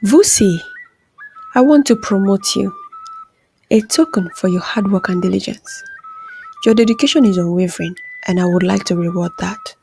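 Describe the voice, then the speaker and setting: A woman voicing a shrewd female employer and crime partner, measured and persuasive, in a narrated story. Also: medium pitch, creaky, warm tone, slight background noise, close to an everyday voice.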